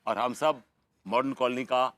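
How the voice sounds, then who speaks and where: A man's voice: mid-range voice, fairly even intonation, normal speed, a bit bright, no echo, studio quality, lightly acted. An actor playing a bossy, righteous spokesman for a beggar gang in a broad sitcom, mixing in English phrases. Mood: accusing. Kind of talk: broad Hindi sitcom acting.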